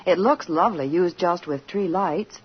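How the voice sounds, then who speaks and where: A woman's voice: lowish voice, normal speed, husky. A woman reading a scripted 1940s radio commercial, giving instructions. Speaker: commercial announcer. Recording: dull 1940s radio recording.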